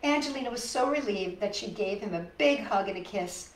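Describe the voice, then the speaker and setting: Woman storytime host, very lively melodic delivery, talks straight to little kids, sympathetic and coaxing; echoey room. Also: medium pitch, a little fast. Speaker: storytime host reading a picture book. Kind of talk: expressive children's storytime read-aloud with asides to young viewers. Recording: echoey room, faint background noise.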